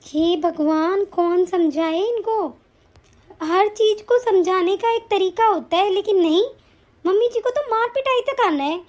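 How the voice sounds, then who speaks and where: A woman's voice: extremely high pitch, measured pace, darkish tone. A woman playing an exasperated elder daughter-in-law who tries to reason and keep peace in a family melodrama. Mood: weary. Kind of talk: domestic family melodrama acting.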